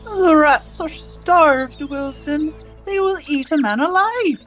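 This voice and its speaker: A woman playing a menacing, domineering interrogator of a totalitarian regime in a radio drama, speaking in a deliberate, taunting way. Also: high-pitched, music in the background. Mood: threatening.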